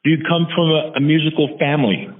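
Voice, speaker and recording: A man hosting a casual radio interview, asking questions in a laid-back, halting way. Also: on the high side. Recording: thin phone-like audio.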